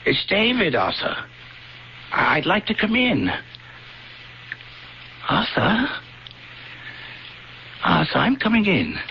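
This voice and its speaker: A loyal, worried friend in a vintage radio drama. His earnest voice swings widely in pitch with a creaky edge. The old recording is dull, with music behind. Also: noisy background, heavily acted. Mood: gentle, pleading. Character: concerned, loyal male family friend telling his story.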